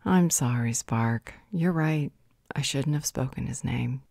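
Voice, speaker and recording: Woman playing an earnest, low-voiced female werewolf. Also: measured pace, creaky, a little mellow, dry recording, clean studio sound, exaggerated voice. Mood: apologetic, careful. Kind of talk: fantasy audio roleplay acting.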